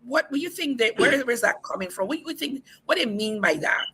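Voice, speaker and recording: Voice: medium pitch, animated, a little fast, pushed and bright, slightly rough. The host of a livestream talk show, upbeat, introducing guests and repeatedly asking viewers to share the stream.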